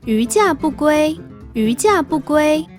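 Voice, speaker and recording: A woman giving a deliberate, scripted read-out of quiz sentences. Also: high voice, expressive, soft background music. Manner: deliberate, repeats each sentence.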